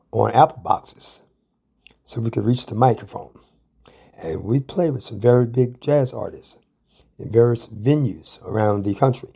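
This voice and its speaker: An American man telling his own life story as a guest storyteller, with a measured, halting delivery. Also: medium pitch, gravelly, dark tone, slightly rough. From Ann Arbor, Michigan, USA. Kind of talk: autobiographical storytelling.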